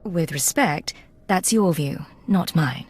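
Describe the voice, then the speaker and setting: An actress playing a composed, dutiful young imperial agent in a video game, cool, polite and formal. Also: female voice, on the low side, animated, slow pace, slightly rough, exaggerated voice. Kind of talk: video game cutscene acting.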